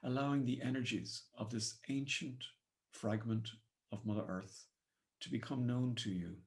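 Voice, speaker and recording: An Irish man with an Irish accent leading a guided meditation in measured, solemn, poetic phrases. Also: medium pitch, steady tone, a little mellow. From Ireland.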